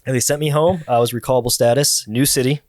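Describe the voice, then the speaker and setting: An American man chatting casually as a guest, telling work stories in an animated way with acted-out quotes and slang. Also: mid-range voice, fast talker, slight background noise. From Cleveland, Ohio, United States. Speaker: air traffic controller, podcast guest. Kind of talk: casual conversational storytelling.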